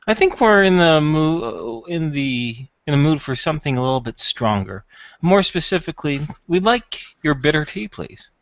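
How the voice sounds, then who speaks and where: Tabletop game part: polite, smooth-talking space traveller acted by a man, lively pitch, careful wording, slightly muffled. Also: normal speed, no room sound. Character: Xavier, polite smooth-talking spacefaring customer seeking contraband.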